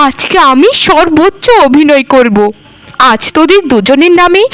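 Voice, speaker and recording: A woman's voice: sits high, a bit bright, low-quality recording. A woman voicing a scheming, spoiled young village sister in a cartoon, theatrical and petulant. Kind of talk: cartoon voice acting, melodramatic.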